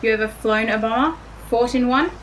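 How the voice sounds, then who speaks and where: A woman's voice: fairly high pitch, fairly quick, slight background noise, lightly acted, poor audio. A woman playing a self-assured, blunt pilot and bomb tinkerer with a wry manner in an audio drama. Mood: cocky, wry. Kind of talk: scripted audio drama acting.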